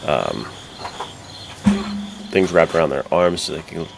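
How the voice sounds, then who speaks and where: Male co-host, deep voice, slangy casual talk, noticeable noise behind him. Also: expressive, measured pace. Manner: slangy, laid-back phrasing with "dude" and "like". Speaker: podcast co-host. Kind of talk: casual chat. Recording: clear background noise behind the voice.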